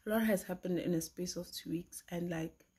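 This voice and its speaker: A woman's voice: lowish voice, fairly even intonation, moderate pace, background noise. A woman in her twenties, a pastry chef student, chatting casually to her phone camera at home in a rambling way with lots of fillers. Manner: chatty, rambling, with frequent fillers and soft asides. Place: small indoor room at home. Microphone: phone camera at arm's length.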